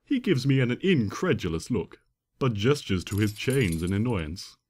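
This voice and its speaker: A man reading fan fiction as an expressive, theatrical first-person narration. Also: fairly low pitch, normal speed, slightly rough. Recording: long hall reverb.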